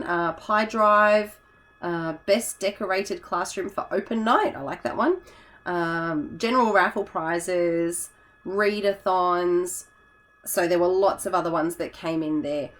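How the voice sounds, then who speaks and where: A hoarse woman, a teacher chatting casually in a vlog, rambling with fillers and self-corrections. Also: mid-range voice. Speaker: teacher and YouTuber.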